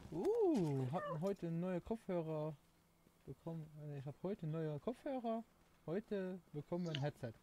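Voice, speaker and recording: A man's voice: fairly high pitch, sing-song, a little slow, mellow, a little hiss or hum. A young man from Luxembourg with a Luxembourgish accent, chatting casually while streaming a game, rambling and hunting for words. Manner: rambling, laughing, self-mocking, searches for words. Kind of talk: casual gameplay stream chat.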